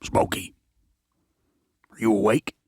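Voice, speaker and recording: An actor playing a cautious, sheepish male outlaw in an audio drama, with a husky voice. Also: slow, darkish tone, dry recording, clean studio sound, natural voice. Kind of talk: dramatic dialogue in fiction podcast.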